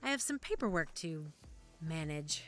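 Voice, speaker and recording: A woman acts as a composed, discreet woman in an audio drama. Her voice is on the low side but varies widely in pitch, with faint music. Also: very animated, moderate pace. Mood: businesslike.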